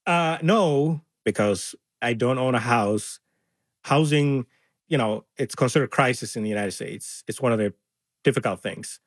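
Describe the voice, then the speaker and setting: A man's voice: mid-range voice, measured pace, slightly rough, no room sound, studio quality. Earnest man. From Somalia. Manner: earnest, voices others' words animatedly. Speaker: interview guest. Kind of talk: conversational interview.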